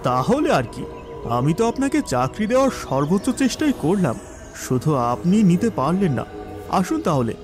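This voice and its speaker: A man playing an arrogant, sleazy, bullying boss in an animated thriller, talking in a condescending, oily way. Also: high-pitched, normal speed, music in the background. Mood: sly, oily. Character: sleazy, arrogant, bullying male boss taking a job interview. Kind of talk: Bengali animated thriller acting.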